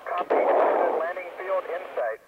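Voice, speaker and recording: A man at mission control speaking over the radio link in formal, measured transmissions. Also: sits high, animated. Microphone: radio link from ground. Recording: tinny distant radio with heavy static.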